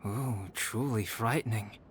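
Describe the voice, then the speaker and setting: A man voicing a calm, cerebral, quietly unsettling young alchemist in a video game, telling a story in a composed, deliberate, articulate way. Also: lowish voice, very noisy. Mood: calm, intrigued.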